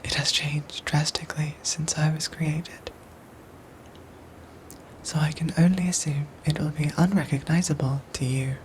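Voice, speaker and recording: A woman voicing a gentle, dutiful android soldier in an ASMR roleplay, with soft breathy whispers and formal, measured phrasing. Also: deep voice, steady tone, slight background noise, rough recording. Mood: gentle, calm. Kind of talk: ASMR roleplay audio drama.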